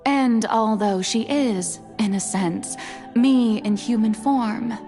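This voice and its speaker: A woman's voice: on the high side, takes it slowly, warm tone. A woman voicing an elegant, self-assured goddess in a video game, with refined, theatrical, grandiloquent diction and a playful yet wistful temper. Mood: regretful. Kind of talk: video game character monologue. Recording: background music under studio voice.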